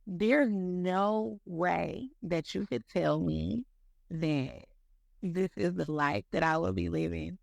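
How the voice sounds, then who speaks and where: Very gravelly speaker in their thirties. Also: medium pitch, slow pace, no echo, clean studio sound. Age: 30s. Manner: rambling, heavy use of "like" and "you know", repeats words. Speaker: podcast speaker. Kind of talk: casual faith talk.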